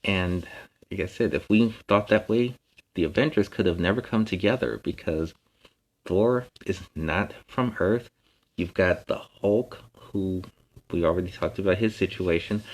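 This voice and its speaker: A man playing a friendly, earnest young superhero who gently explains things as a children's story time host. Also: sits low, low-quality recording. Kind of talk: informal talk to an online audience.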